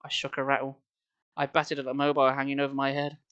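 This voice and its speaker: A man's voice: mid-range voice, monotone, quick pace, fairly bright tone, clean tone, muffled recording. A man reading a story aloud on a live stream, theatrical and playful, with casual asides.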